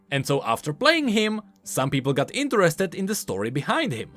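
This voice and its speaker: Animated man explaining, with swooping pitch. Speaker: video creator, host. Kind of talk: scripted explainer commentary.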